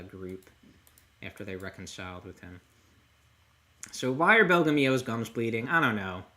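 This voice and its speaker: Bright-voiced man rambling on a livestream. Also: fairly low pitch. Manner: rambling, halting, thinking aloud. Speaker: livestream host. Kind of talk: casual discussion of a comic chapter.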